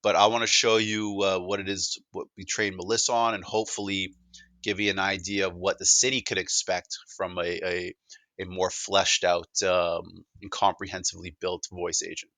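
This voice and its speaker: A man's voice: deep voice, flat delivery, moderate pace, pushed and bright, no echo. A Canadian man presenting a technology demo in a casual, conversational way, often stammering and repeating words as he goes.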